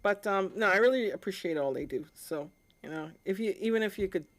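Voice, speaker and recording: A woman's voice: mid-range voice, animated, fairly quick. A woman hosting a livestream in a casual, informal, conversational way, often checking in with the listener. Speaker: live stream host, amateur radio hobbyist.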